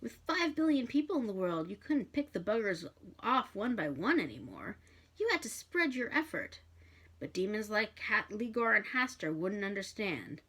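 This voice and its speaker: A woman's voice: mid-range voice, sing-song, normal speed, a bit bright, husky. Woman reading a novel aloud expressively. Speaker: bookshop reader. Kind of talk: expressive storybook narration.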